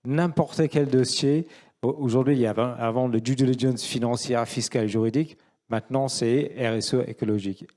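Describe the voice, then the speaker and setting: A middle-aged man explains his view on a panel. He is a native English speaker and keeps a clear English accent. His voice is mellow and sits at an average pitch for a man. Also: steady tone, a little fast. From England. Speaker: panel speaker, finance professional.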